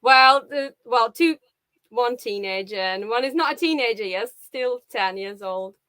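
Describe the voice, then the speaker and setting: Russian woman guest, 40s, bright higher voice, dry close sound, hesitant with fillers. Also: unhurried, clean tone, no echo. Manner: hesitant, with many fillers and restarts. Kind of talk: casual conversation on a live video call. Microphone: video call.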